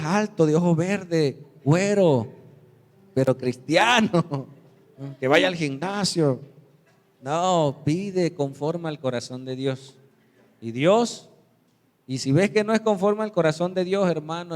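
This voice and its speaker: A man preaching a sermon as pastor, telling stories and acting out the voices of the people in them. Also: a little mellow. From Mexico. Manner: storytelling, acts out quoted voices with big pitch jumps. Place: church sanctuary. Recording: reverberant church hall.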